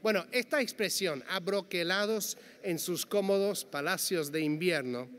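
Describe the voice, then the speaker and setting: A man's voice: sits high, a bit bright. Man lecturing in a reverberant hall. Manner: measured, careful delivery with quoted passages. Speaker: panel speaker. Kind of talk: reading a prepared lecture. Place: large conference hall. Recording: long hall reverb, faint background noise.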